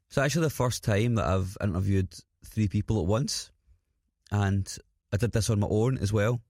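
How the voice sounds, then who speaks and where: A man with a slightly raspy, scratchy voice hosts a podcast in an informal, self-deprecating, rambling way with some swearing. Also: lowish voice, warm tone, no room sound, clean studio sound. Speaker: podcast host. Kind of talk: casual podcast introduction.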